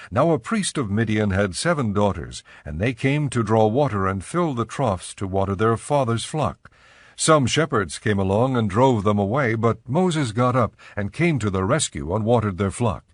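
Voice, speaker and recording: A man narrating a dramatized scripture reading as a measured storyteller. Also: fairly low pitch, lively intonation, no room sound, studio quality.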